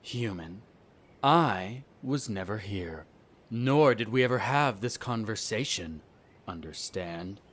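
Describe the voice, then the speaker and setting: A man's voice: fairly low pitch, expressive, slow, fairly bright tone, husky, exaggerated voice. A man acting a smug, contemptuous aristocratic vampire in an audio roleplay, with formal, disdainful diction. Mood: menacing, smug.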